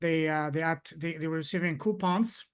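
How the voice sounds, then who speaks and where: A man's voice: a little high, moderate pace, smooth. A man from Afghanistan hosting an interview podcast, asking questions and giving short, attentive acknowledgements. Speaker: podcast host.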